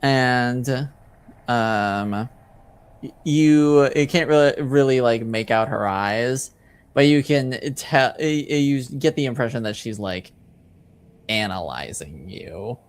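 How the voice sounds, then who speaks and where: A man with a smooth, clear voice runs a tabletop game as game master. He describes scenes slowly and casually, feeling his way haltingly from phrase to phrase. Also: medium pitch, expressive. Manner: hesitant, halting, pieces descriptions together.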